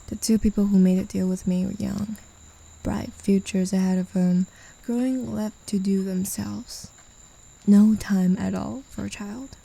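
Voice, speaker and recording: A woman playing a gravelly, taunting demon. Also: steady tone, measured pace, poor audio. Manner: condescending and teasing, soft whispers and low chuckles. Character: mocking, possessive demon who claims a human as a pet. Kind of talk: ASMR roleplay.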